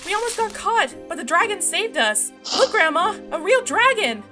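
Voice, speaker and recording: A woman's voice: extremely high pitch, sing-song, normal speed. A woman voicing an impulsive, emotional young granddaughter in a comic dub, with urgent delivery. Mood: relieved, excited. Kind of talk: fan comic dub voice acting. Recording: music behind the voice.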